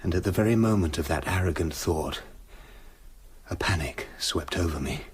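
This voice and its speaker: An actor playing a tormented British gentleman scientist confessing his dark side in a brooding, literary radio drama narration. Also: deep voice, moderate pace, husky. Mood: reflective, uneasy.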